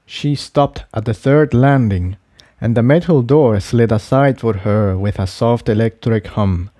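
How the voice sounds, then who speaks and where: A man reading scripted fiction aloud as an expressive story narrator. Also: medium pitch, a little slow, no room sound, studio quality.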